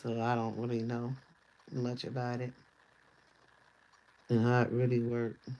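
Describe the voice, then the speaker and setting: A man casually chatting on a livestream in a loose, rambling way, his voice going hoarse. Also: mid-range voice, completely flat delivery, unhurried, a little mellow, rough recording. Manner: loose, rambling talk with easy laughs. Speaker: livestream host.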